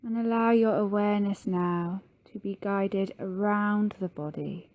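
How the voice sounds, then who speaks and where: A woman leading a guided yoga nidra meditation in a soft, soothing manner with long pauses. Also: medium pitch, steady tone, dry recording.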